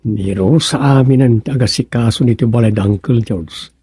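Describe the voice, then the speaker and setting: A man with an Ilocano accent and a gravelly, husky voice playing a hesitant, lovesick village man in a radio comedy-drama. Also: medium pitch, moderate pace, warm tone, dry recording, clean studio sound. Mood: wistful. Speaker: radio serial actor.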